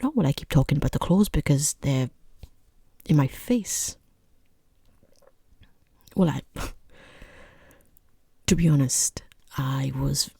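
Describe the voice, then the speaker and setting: A person voicing a rude, condescending boss in a soft-spoken ASMR roleplay, with a breathy half-whisper, hesitant pauses and dryly mocking, falsely polite understatement. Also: mid-range voice, no room sound, studio quality, exaggerated voice. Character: rude, condescending boss conducting a job interview.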